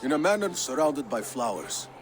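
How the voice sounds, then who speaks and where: A man's voice: mid-range voice, sing-song, normal speed, natural voice, rough recording. An actor playing a sarcastic, greedy male dockmaster in a game cutscene. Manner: snide, sarcastic. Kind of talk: video game cutscene acting.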